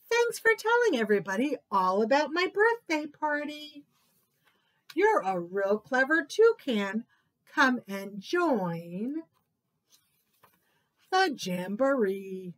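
A woman voicing a cheerful old elephant in a read-aloud storybook, with a jolly, booming greeting. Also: very animated, slow pace, long pauses, a bit bright, exaggerated voice. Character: cheerful old elephant throwing a birthday party.

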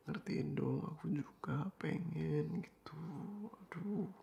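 A young man in a boyfriend roleplay, talking softly and coaxingly in a half-whisper, dropping English phrases into his speech. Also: on the high side, slow pace, creaky, muffled recording.

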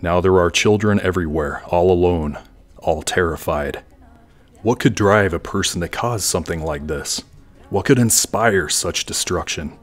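A man playing a brooding, grandiose villain in a fan audio drama, delivering his words in a dramatic, measured way. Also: low voice. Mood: grave, grandiose. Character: brooding, grandiose male villain plotting world domination.

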